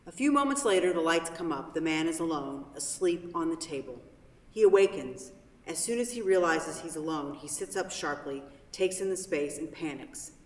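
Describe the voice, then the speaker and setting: A woman's voice: low voice, lively intonation, normal speed, darkish tone. A woman reading stage directions as scripted narration for a play. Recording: very echoey hall from far off.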